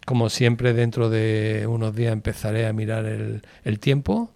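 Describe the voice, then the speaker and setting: A man's voice: on the low side, normal speed, gravelly, no room sound. A man in his sixties, a radio guest chatting casually, swearing freely and telling stories. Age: 60s. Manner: swearing, storytelling, animated when joking.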